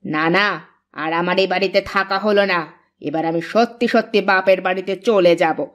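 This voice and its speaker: A woman's voice: mid-range voice, a little fast, soft background music, natural voice. A woman playing a jealous, stingy young village wife in a fairy-tale cartoon, indignant, sarcastic and sulky. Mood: sulky scorn.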